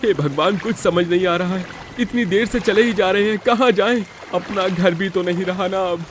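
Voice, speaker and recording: A man's voice: sits high, moderate pace, a little hiss or hum, voice pushed up, natural voice. A man voicing a poor, worried but caring young husband in dramatized storybook dialogue. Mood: urgent, distressed.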